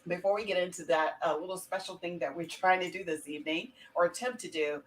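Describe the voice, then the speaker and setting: A woman's voice: lowish voice, fast talker, a little hiss or hum, rough recording. A woman hosting a casual live show in an informal, rambling way, often correcting herself as she goes. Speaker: talk show host.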